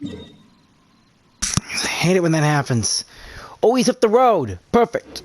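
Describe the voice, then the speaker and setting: A player chats casually over gameplay, replying to the characters. The delivery is slow, at a middling pitch. There is a little noise in the recording. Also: very animated. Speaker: gamer commenting on gameplay.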